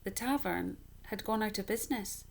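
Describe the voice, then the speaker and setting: A woman reading a children's story aloud in an expressive, measured, dramatic storytelling style. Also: medium pitch, creaky.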